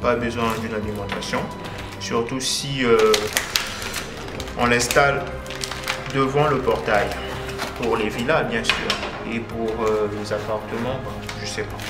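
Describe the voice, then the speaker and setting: A man casually presenting and unboxing a product as a video host, with halting phrasing and frequent filler sounds. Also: medium pitch, steady tone, roomy sound, loud music behind the voice.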